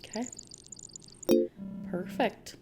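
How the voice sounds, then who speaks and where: A woman playing a friendly, reassuring technician at a futuristic customization service in a soft-spoken, casual ASMR roleplay. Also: music in the background. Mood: gentle, reassuring. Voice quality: soft, creaky. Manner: gentle, reassuring, casual, unhurried.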